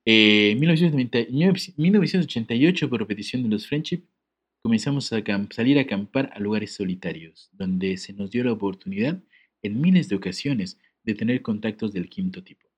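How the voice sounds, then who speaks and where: Dude on a podcast, reads a letter out then jokes around, laughs a lot. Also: male voice, lowish voice, lively intonation, a little fast. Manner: joking, laughs often, reads with comic asides. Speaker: podcast co-host. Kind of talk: casual podcast chat, reading a letter aloud.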